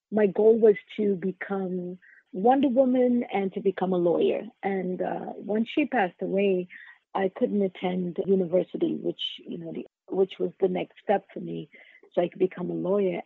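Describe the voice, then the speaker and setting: Woman, Trinidadian accent, gravelly and husky, personal storytelling, interview guest. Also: fairly low pitch, fairly even intonation, moderate pace, no room sound. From Trinidad and Tobago. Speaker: podcast guest. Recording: narrow phone-like sound, dry.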